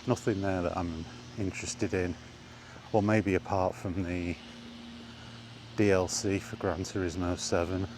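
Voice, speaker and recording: Man, deep voice, slow delivery, casual walking vlog, rambling and plain-spoken, faint outdoor background noise. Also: little pitch movement. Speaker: gaming vlogger.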